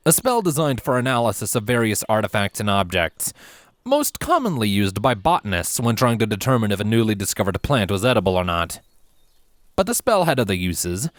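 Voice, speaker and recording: A man with a fairly low voice narrates a story with theatrical emphasis. His pitch moves in a very lively way, and the sound is dry and close. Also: no room sound. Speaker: fan fiction narrator.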